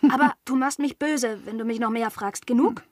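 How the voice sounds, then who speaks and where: A woman's voice: on the high side, normal speed, a little mellow, no room sound, studio quality, lightly acted. An actress playing a naive, headstrong, girlish young lady of the manor in a radio play, pert and artless. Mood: pouting, smitten. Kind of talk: German radio play acting.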